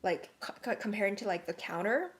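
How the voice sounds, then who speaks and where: A young woman co-hosting a casual podcast chat, talking calmly and laughing often between her phrases. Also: medium pitch, a little fast. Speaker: podcast co-host.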